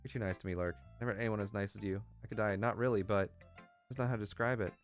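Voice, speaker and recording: A man reading the part of a shy, intensely clingy teenage schoolgirl in a visual novel, soft-spoken and earnest. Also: fairly low pitch, fairly even intonation, rapid-fire, soft background music, natural voice. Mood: adoring, needy.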